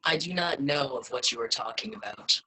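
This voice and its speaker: A man's voice: medium pitch, normal speed, sharp tone, poor audio. A man reading the part of a fearful disciple, insistent and denying, in a church. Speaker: reader of a part.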